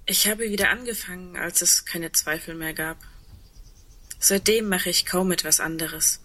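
A woman playing a wistful double bass player in a naturalistic radio play, speaking in a reflective, halting and intimate way with a husky voice. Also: sharp tone. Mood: musing, amused.

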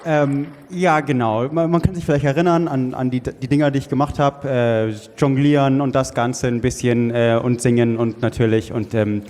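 A man playing a nervous, awkward loner who speaks haltingly in improvised comedy. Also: medium pitch, fairly even intonation, darkish tone, slight background noise, close to an everyday voice. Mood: nervous, embarrassed. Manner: hesitant, stammering. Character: nervous, awkward loner, former juggling contestant. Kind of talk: improvised comedy audio play. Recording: reverberant hall.

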